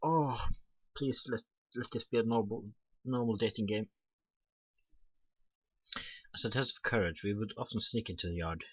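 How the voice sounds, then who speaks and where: A man's voice: lowish voice, normal speed, long pauses, slightly rough, muffled recording. A man playing a game on his channel, reading the story text aloud and adding casual asides. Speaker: let's player. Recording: roomy sound with faint music behind.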